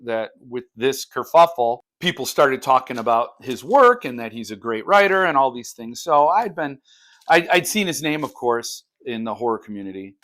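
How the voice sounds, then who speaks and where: A man's voice: medium pitch, a bit bright. A man talking casually and unscripted as a book vlogger, thinking aloud in broken, conversational phrases. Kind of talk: casual unscripted talk to viewers.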